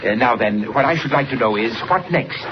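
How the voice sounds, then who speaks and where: An actor playing a fussy, self-important newspaper photographer in a 1940s radio serial, precise, pompous and dryly sarcastic. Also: male voice, mid-range voice, lively intonation, fairly quick, natural voice. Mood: wry, indignant. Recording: muffled, noisy 1940s radio recording.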